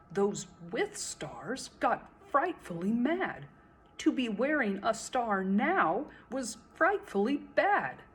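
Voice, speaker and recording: Slow, animated woman storyteller. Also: mid-range voice, a little hiss or hum. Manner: expressive, theatrical. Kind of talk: animated children's rhyming story recitation.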